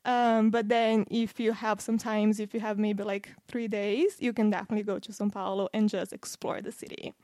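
A woman's voice: medium pitch, level tone, moderate pace, few pauses, dark tone, no echo. A woman in her thirties from Brazil with a Brazilian accent, a podcast guest chatting casually and explaining in a friendly way. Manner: friendly, explains with fillers and restarts. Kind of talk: casual interview chat.

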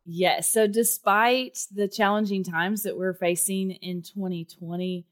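A woman's voice: medium pitch, steady tone, a little slow. A woman with a Texas accent, chatting through her career story as an interview guest, with frequent ums and uhs. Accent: Texan. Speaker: guest, education administrator. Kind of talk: conversational interview answers.

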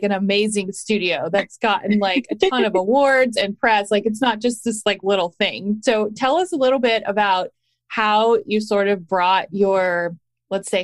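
A woman speaks as a podcast host in a medium-pitched voice. Her interviewing is informal and chatty, with loosely framed phrases and plenty of "like" along the way. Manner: informal, frequent "like" while framing questions. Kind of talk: casual conversational interviewing.

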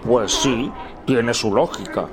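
A man's voice: medium pitch, slow pace, a crowd in the background. An actor playing a placid, simple-minded Wise Man king in a comic radio play, musing with innocent earnestness. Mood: naive musing.

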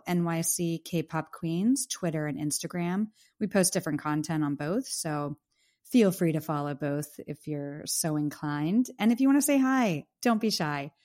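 A woman co-hosts a podcast with easy, talkative warmth and laughs often. Her voice is fading from a cough, a little rough and lower than most. She rambles in a relaxed, friendly way. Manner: chatty, laughs easily. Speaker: podcast co-host. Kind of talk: casual podcast chat.

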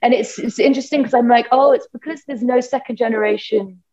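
A woman's voice: fairly high pitch, steady tone, fast talker, darkish tone, highs cut off. A woman with a British accent, a guest musician chatting casually and enthusiastically in an interview, rambling with lots of "like" fillers.

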